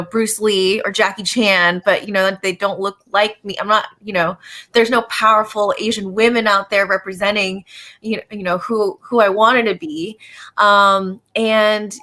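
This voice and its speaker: Bright-voiced chatty woman from Los Angeles. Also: mid-range voice. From Los Angeles, United States. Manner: chatty, many fillers like "you know" and "like". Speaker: panel guest, business owner. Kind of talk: casual panel discussion.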